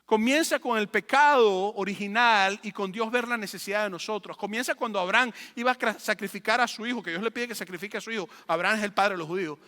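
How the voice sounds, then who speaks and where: A male pastor with a high-pitched, bright and forceful voice delivers a teaching sermon. He hammers points home by repeating phrases and posing rhetorical questions. His voice rings with long reverb in a large room. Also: fast talker, few pauses. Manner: emphatic, repeats phrases for effect. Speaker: pastor preaching.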